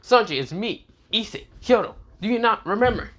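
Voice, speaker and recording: A swaggering young man who swears freely and talks bluntly, as a man puts him on. His pitch moves in lively jumps. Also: a little fast. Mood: cocky. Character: cocky, foul-mouthed young man.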